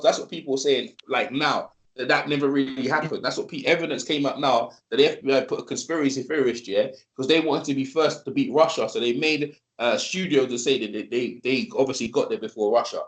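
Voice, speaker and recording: A man hosting a casual live stream, talking in a rambling, repetitive and animated way. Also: medium pitch, a little fast, hardly any pauses, rough recording. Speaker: host.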